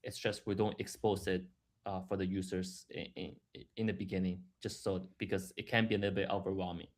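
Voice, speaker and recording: A man giving a live, explanatory software demo in a halting way, repeating words and restarting as he goes. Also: low-pitched, fairly even intonation. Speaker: software product presenter.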